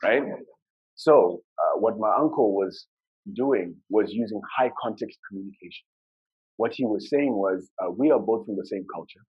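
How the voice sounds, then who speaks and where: A man's voice: on the low side, lively intonation, normal speed, husky, lo-fi sound. A man from Zimbabwe whose first language is Shona, giving a conversational conference talk with filler words and restarts. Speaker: conference speaker.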